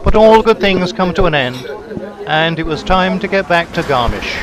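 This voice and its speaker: A man reading a scripted travelogue voice-over in a measured, descriptive storytelling way. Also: sits high, lively intonation, crowd noise, poor audio. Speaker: travelogue narrator. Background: background music.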